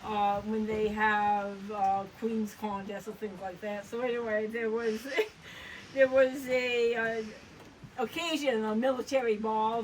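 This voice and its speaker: An older woman from Maine with a Maine accent, telling rambling stories with many pauses and laughs in a casual family interview on a porch. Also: fairly high pitch, distant mic, a little hiss or hum, low-quality recording. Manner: rambling, halting storyteller who laughs often. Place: front porch outdoors.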